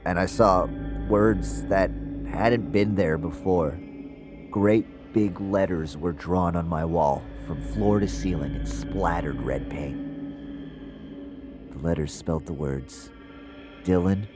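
An actor playing a haunted, uneasy man with seizures, confiding in a halting, introspective audio drama monologue. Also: sits low, music in the background. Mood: eerie, unsettled.

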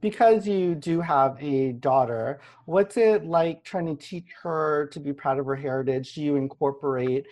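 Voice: mid-range voice, darkish tone. Chatty podcast host, laughs easily. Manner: chatty, laughs often, quick asides. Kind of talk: casual chat.

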